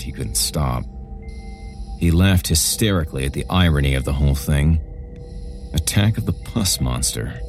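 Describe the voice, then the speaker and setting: Super deep guy reading a horror story, dramatic, bit of music behind. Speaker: horror story narrator and host. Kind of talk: scripted horror narration.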